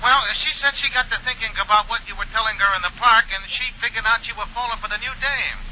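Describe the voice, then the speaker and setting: Piercing, high-voiced slangy crony. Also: male voice, quick pace, sharp tone, a little hiss or hum, heavily acted. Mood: agitated. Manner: colorful slangy talk. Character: high-strung, slangy male crony with bad news. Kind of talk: 1940s radio comedy acting. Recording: tinny telephone voice, 1940 radio broadcast.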